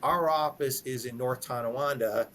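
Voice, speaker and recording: A man's voice: medium pitch, normal speed, a bit bright. A man speaking conversationally as a podcast guest lawyer, emphatic, repeating himself and acting out sample questions and answers. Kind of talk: conversational advice in a podcast interview.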